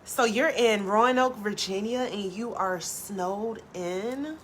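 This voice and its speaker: A woman who is a tarot reader, hosting a casual live stream and chatting with viewers in a soft, low voice, with lots of "like" and casual swearing. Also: slow pace, slight background noise. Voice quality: low natural voice.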